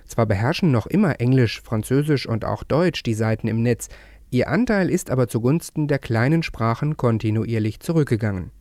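Steady male radio presenter, dry studio. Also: mid-range voice, moderate pace, no room sound. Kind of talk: scripted radio introduction.

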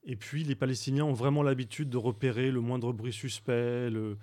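A man speaking as a guest on a radio talk show, recounting his volunteer trip in a halting way with frequent fillers and restarts. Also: mid-range voice, fairly even intonation, dark tone. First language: Arabic speaker. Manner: hesitant, many fillers and restarts. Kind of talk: radio interview.

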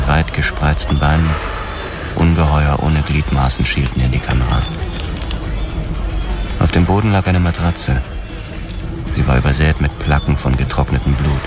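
An actor playing a haunted, hard-boiled police detective, narrating a noir radio drama in measured, clipped phrases. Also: male voice, extremely low pitch. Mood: grim, detached. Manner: measured, clipped, grim storytelling. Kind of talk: scripted first-person noir crime narration, radio drama.

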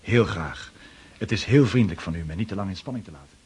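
A self-satisfied young officer in a radio drama, rattling along in formal, over-polite phrases. His voice is fairly low and husky, with a boastful, pompous manner. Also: animated, quick pace, darkish tone, exaggerated voice. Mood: ingratiating.

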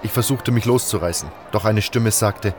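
A man's voice: lowish voice, normal speed, over music. A man reading scripted first-person narration for a fantasy fan audio drama.

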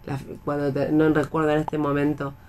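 A woman's voice: low voice, completely flat delivery, moderate pace, darkish tone. Radio panelist, female, Argentine accent, conversational, slightly noisy ambient recording.

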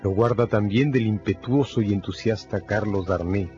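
A male narrator with a low voice tells a radio drama in scripted, dramatic style. Music runs behind him and the audio is slightly dull. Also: moderate pace, darkish tone.

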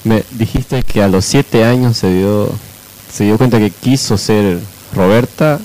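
A man's voice: lowish voice, unhurried, mellow, poor audio. A man hosting an informal radio talk show, often adding checking tag questions.

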